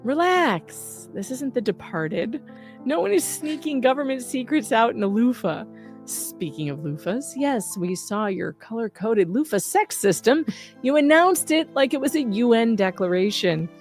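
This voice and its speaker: A woman's voice: on the high side, lively intonation, unhurried, a little mellow, soft background music. A woman reading out a scripted satirical roast as an online host, with a sarcastic, punchy, emphatic delivery.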